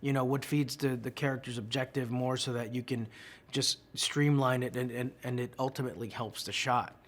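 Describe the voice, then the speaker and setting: A man with a mid-range voice explaining things thoughtfully in an interview. He speaks haltingly and repeats words as he works through his ideas. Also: medium pitch, fairly even intonation. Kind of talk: casual junket interview.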